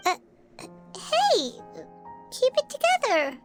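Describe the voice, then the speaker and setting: A woman voicing a small, cheeky, childlike fairy companion in a video game, with a squeaky, cute, exaggerated delivery. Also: huge pitch swings, slow pace, exaggerated voice. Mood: alarmed. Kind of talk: video game character acting. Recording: music behind the voice.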